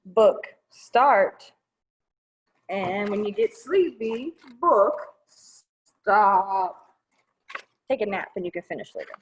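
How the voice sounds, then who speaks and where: Slow, very animated woman reading to children. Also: medium pitch, dull audio. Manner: expressive, simple explanations aimed at young children. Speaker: children's librarian, storytime presenter. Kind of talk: children's storytime read-aloud.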